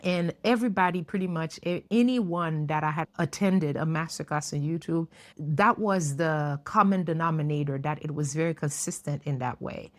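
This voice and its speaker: A woman's voice: deep voice, moderate pace. A woman in her fifties, for whom English is not a first language, telling a personal story to camera in an earnest, reflective way with light laughs. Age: 50s. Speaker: YouTuber.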